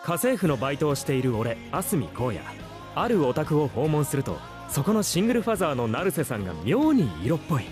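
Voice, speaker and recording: Anime college boy with a crush, talks super fast, swoopy pitch, music behind. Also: big pitch swings. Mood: smitten. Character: smitten young male college student housekeeper. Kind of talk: anime trailer narration and dialogue.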